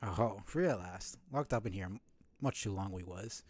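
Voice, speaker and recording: A man's voice: low voice, moderate pace, slight background noise, lightly acted. A man voicing a small, greedy, cheeky thieving bug from a video game, with a wheedling rogue's patter. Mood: sly, wheedling.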